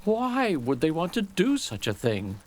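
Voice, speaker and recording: A man voicing a curious villager, lifted pitch. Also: huge pitch swings, unhurried. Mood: puzzled. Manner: questioning, rising inflection. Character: curious, puzzled young male Japanese villager. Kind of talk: storybook character dialogue.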